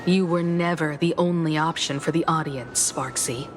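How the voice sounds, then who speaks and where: A woman voicing a calm, determined young heroine in a video game, with a steady and resolute manner. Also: sits low, normal speed, natural voice. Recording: game audio with music underneath.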